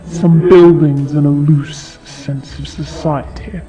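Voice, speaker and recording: Guy doing a snooty impatient dragon, talks slow and mocking, fantasy audio play w loud music behind. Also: a little high, flat delivery, pitched above the performer's own voice, low-quality recording. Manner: condescending, slow and deliberate taunting. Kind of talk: fantasy audio drama acting.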